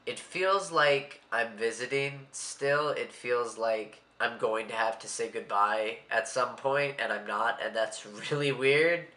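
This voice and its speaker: Young man, congested, rambling and a bit anxious, bright tone in an echoey room. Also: medium pitch, slow, few pauses. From Maryland, USA. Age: young adult. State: stuffy, congested. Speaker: vlogger. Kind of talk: casual vlog monologue.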